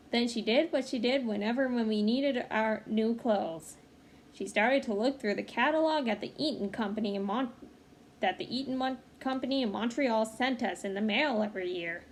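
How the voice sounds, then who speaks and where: A woman reading a children's picture book aloud in an expressive, engaged way, with small asides to her listeners. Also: on the high side. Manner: expressive, pausing to comment to young listeners. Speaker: read-aloud storyteller. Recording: clearly noisy home recording.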